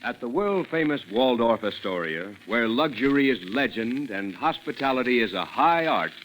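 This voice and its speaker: A man's voice: medium pitch, expressive, normal speed, rough recording. A man announcing a 1940s radio sponsor message in a polished, grandiose style. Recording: 1940s radio broadcast recording. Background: music.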